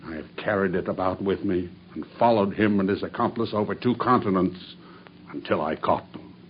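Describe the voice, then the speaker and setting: An actor playing a grim, dying male cab driver who makes a steady, grave confession in an old radio drama. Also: sits low, fairly quick, a little hiss or hum, lightly acted. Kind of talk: 1950s BBC radio drama acting. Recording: dull old radio broadcast.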